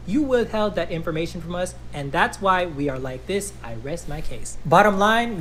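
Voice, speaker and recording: A man's voice: a little high, fairly bright tone, slight background noise. A man with an American accent delivering an argumentative monologue, emphatic and confrontational, full of rhetorical questions. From United States.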